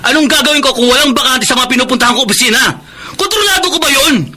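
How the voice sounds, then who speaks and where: A man's voice: extremely high pitch, very fast, pushed and bright, exaggerated voice. A man acting in a Filipino radio drama, playing a proud, stubborn jobless husband and father who gets defensive. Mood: defensive, irritated. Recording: noisy radio drama track.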